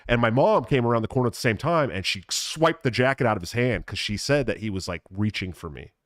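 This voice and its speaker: Man telling a story with filler-heavy pauses. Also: mid-range voice, animated, no echo, clean studio sound. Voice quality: mild stutter, many fillers and pauses. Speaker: podcast host. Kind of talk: casual podcast storytelling.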